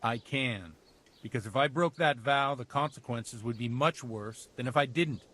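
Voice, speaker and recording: Actor as solemn, unyielding village priest. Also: male voice, mid-range voice, a bit bright, lightly acted. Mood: solemn, firm. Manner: measured, pious, patronizing. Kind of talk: video game cutscene acting.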